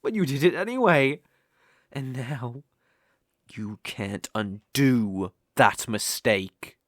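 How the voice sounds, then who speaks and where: A man with a British accent voicing a possessive, sadistic dark elf slave master in an intimate ASMR roleplay, taunting and condescending as he lingers on his words. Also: mid-range voice, expressive, slow pace, dry recording, studio quality. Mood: angry, mocking.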